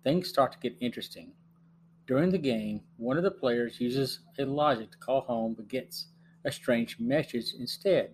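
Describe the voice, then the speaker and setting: A man's voice: medium pitch, poor audio. Middle-aged man narrating over faint hum. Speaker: podcast host and reviewer. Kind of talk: scripted narration, plot summary and review. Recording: faint hum and background noise.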